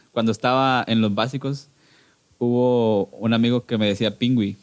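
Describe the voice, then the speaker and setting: A man's voice: medium pitch, flat delivery, normal speed. A Guatemalan man in his twenties, a guest in a casual interview, talking nervously and laughing often as he jokes about himself. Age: 20s.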